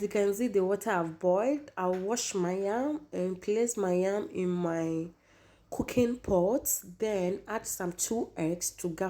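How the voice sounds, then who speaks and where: Hoarse woman, casual cooking host, warm low-bright timbre, talks straight to viewers. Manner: chatty, repeats herself, addresses viewers as guys. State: hoarse, losing her voice.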